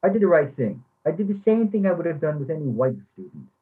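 A man's voice: on the high side, sing-song, fast talker. A man reading the part of a formal, defensive former English instructor in a play. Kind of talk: readers theatre play reading. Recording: thin, phone-like sound.

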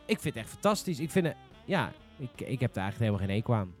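Brisk Netherlandic Dutch man hosting a podcast. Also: medium pitch, very animated, soft background music. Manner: informal, swearing, argumentative. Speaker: podcast host. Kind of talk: casual opinionated podcast discussion.

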